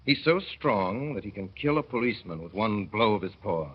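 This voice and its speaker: A man narrating a 1930s radio drama as a father, in a wry, mournful storytelling manner that slows to dwell on sad images. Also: lowish voice, animated, breathy edge. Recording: dull old radio broadcast.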